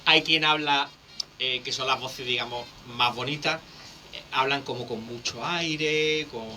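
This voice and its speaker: A man, a public speaking coach explaining things in a lively, teacherly way as a guest on a radio interview. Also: medium pitch, unhurried, slight background noise.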